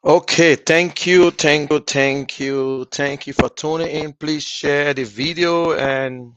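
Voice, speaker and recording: A Liberian man is talking politics straight to his viewers. His pace is very unhurried, and he starts off soft and quiet. Then he swells into loud, heated emphasis. The sound is close and dry. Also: fairly high pitch, fairly even intonation, clean tone, dry recording, lo-fi sound. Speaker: political commentator.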